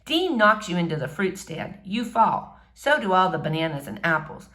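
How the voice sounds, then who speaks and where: A woman's voice: on the low side, big pitch swings, normal speed, fairly bright tone. Woman reading a story aloud expressively. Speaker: audiobook-style reader. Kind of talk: expressive read-aloud of a verse novel.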